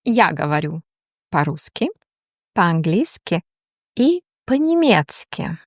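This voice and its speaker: A woman teaching a language, reading slow, deliberate question-and-answer drills with long pauses. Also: on the low side, big pitch swings, no room sound, clean studio sound.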